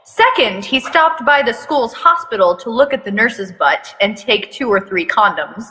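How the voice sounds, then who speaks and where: Woman, bright forceful voice, mock-dramatic fanfic reading, sweary commentary, echoey space. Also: on the high side, expressive, measured pace. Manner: theatrical reading broken by blunt, sweary commentary. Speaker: fan fiction reader and commentator. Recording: echoey reverberant room.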